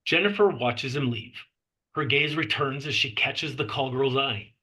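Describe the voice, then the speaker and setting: Bright-voiced man reading stage directions. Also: mid-range voice, measured pace, rough recording. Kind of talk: scripted narration at a table read.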